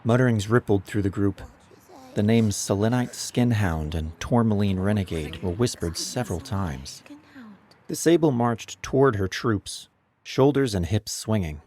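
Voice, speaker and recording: A man's voice: on the low side, a little slow, a little mellow. A man narrating a dramatized audiobook in a scripted, dramatic storytelling style.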